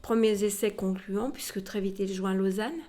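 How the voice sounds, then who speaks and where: A woman's voice: mid-range voice, fairly even intonation, moderate pace, mellow. Female museum guide, informal historical storyteller, explanatory and direct with the listener. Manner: explanatory, addresses listeners directly with rhetorical tags.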